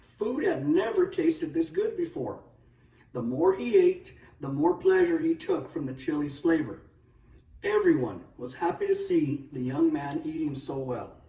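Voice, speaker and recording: A man narrating a children's story in an animated read-aloud style. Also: a little high, unhurried. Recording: roomy echo, distant microphone.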